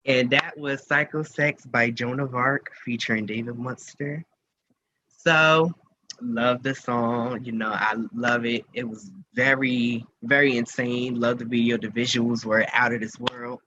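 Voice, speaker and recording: A man's voice: medium pitch, steady tone, a little slow, pushed and bright. A man hosting a radio show, chatting casually in an informal, rambling interview style. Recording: muffled lo-fi audio with noticeable hiss.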